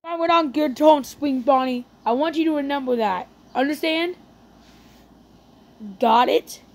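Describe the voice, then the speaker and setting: Woman, casual game roleplay, chatty, excitable outbursts, slightly high voice. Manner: chatty, quick bursts of excited exclamations. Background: loud game music.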